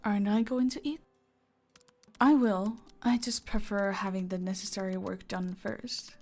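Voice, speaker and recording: A woman playing a calm, dominant female mafia boss in an audio roleplay, teasing and deliberate, often slipping into a breathy whisper. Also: medium pitch. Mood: calm, explaining. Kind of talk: roleplay audio acting, intimate and slow. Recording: music behind the voice.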